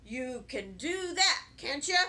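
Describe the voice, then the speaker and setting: A woman's voice: high-pitched, sing-song, takes it slowly, pushed and bright, slight background noise, full character voice. A woman voicing a firm, encouraging father of a young girl in a children's storybook. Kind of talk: children's storybook read-aloud.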